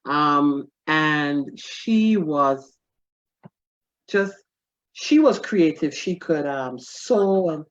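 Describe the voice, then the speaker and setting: A middle-aged woman, a stand-up comedian telling stories in a casual interview, animated and quick to laugh. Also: low voice. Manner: animated, laughs often, self-deprecating. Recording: dull lo-fi recording.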